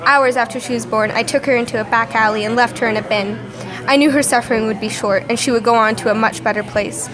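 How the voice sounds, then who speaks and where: A woman's voice: a little high, fairly even intonation, quick pace, crowd noise, natural voice. A woman playing a defensive young female criminal in an amateur radio play. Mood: bleak.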